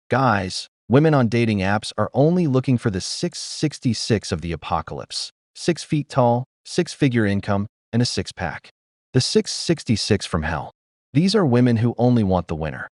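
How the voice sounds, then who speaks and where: A man's voice: mid-range voice, darkish tone, no room sound, clean studio sound. Sardonic man lecturing in commentary. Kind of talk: opinion commentary voice-over.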